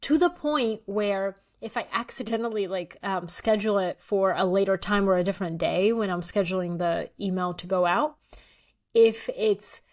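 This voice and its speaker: A woman's voice: medium pitch, moderate pace. A woman in her thirties, originally from Bulgaria, talking casually as an author guest, full of likes, ums and restarts, laughing often. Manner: chatty, laughs often, many fillers and restarts. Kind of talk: casual interview conversation.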